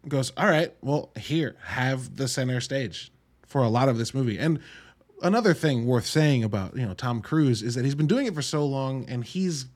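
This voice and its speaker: A man's voice: medium pitch, lively intonation, moderate pace. Casual, wry man reviewing films solo. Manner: informal, wry, mildly profane. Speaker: podcast host reviewing films.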